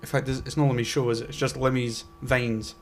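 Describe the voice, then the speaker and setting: A man's voice: mid-range voice, flat delivery, a little fast. A young man co-hosting a podcast in casual chat. He rambles, stops himself mid-sentence and makes wry asides. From Scotland. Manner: rambling, self-interrupting and wry. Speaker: podcast co-host.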